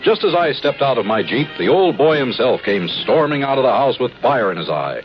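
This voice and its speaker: Actor as a formal, animated army colonel. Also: male voice, medium pitch, a little fast, close to an everyday voice. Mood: dry, measured. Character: firm, courteous male army lieutenant colonel telling his story. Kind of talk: 1950s radio drama narration and dialogue. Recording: dull 1950s radio broadcast with music.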